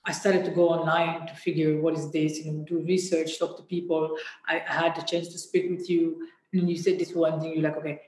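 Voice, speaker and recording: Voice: mid-range voice, flat delivery, brisk, slight background noise. Guest, reflective and searching for words, mid-range voice, roomy echo. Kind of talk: candid interview talk.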